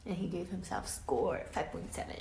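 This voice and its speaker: A woman reading a picture book aloud to children as a teacher, dramatic and expressive, pausing to ask her listeners questions. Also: on the low side, creaky. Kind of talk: animated children's read-aloud with asides to listeners. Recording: roomy echo with faint background noise.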